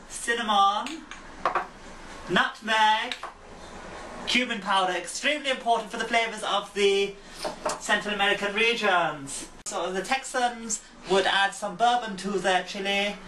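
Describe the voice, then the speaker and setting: A man giving a comic cooking demonstration with a deadpan, mock-pompous manner. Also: high voice, noisy background. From England. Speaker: home cook. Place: home kitchen. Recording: distant mic, loud kitchen sizzle. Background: frying food sizzling.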